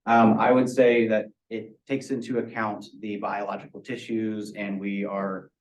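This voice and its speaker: Young guy student answering questions about his project, kinda low voice, explains stuff in a measured technical way. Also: level tone, poor audio. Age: young adult. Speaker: university student presenting a project.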